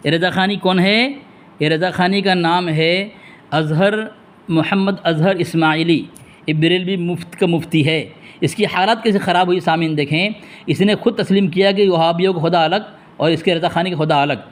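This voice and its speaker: Male debater, high-pitched voice, scolding and combative, hammers points through repetition. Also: moderate pace. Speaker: religious debater and commentator. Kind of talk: heated phone debate and polemic to listeners.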